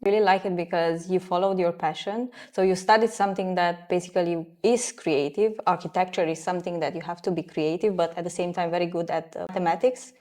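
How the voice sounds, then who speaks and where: A woman's voice: fairly low pitch, fairly even intonation, brisk, few pauses, darkish tone. Earnest woman hosting an interview. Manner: earnest, long-winded questions. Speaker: interviewer, channel host. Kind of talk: interview host conversation. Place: sewing studio.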